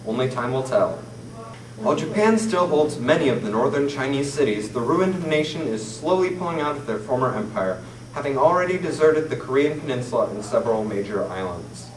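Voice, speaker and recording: A teenage boy reading a mock 1940s newscast from paper at a classroom table, in a formal, steady manner. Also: medium pitch, brisk. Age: teens. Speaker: student newsreader. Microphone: far camera mic. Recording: roomy, distant camera audio with faint hiss.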